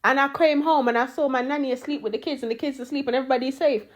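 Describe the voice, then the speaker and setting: A woman's voice: sits high, very fast. A woman, ranting casually and swearing freely in short social media clips. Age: 30s. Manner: brash, sweary, animated. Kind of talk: casual storytime rants.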